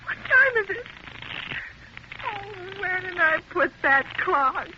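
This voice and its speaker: Bedridden neurotic woman, imperious and querulous, very high swooping voice, vintage radio melodrama. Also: very animated, slow, heavily acted. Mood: frightened, frantic. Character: nervous, demanding bedridden invalid woman, growing hysterical. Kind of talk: old-time radio thriller acting. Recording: noisy, muffled vintage radio recording.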